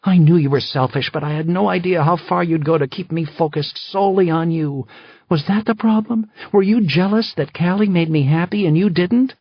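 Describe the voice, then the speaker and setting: A man's voice: mid-range voice, normal speed, gravelly, breathy edge, no room sound, lo-fi sound. A man voicing a furious, grieving, betrayed wife who speaks with intense accusation.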